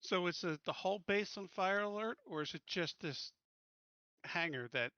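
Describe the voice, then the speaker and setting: A man's voice: high-pitched, lively intonation, normal speed, pushed and bright, dry recording, lo-fi sound. A man talking casually as a player in a tabletop roleplaying game. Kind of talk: casual chat during play.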